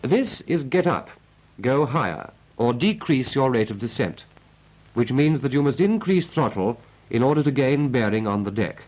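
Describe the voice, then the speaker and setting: A British man delivers scripted instruction in the style of a 1940s military training film. His pitch is medium and moves up and down in a lively way. He sounds clipped and methodical, like an instructor, on a period film soundtrack. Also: normal speed, poor audio.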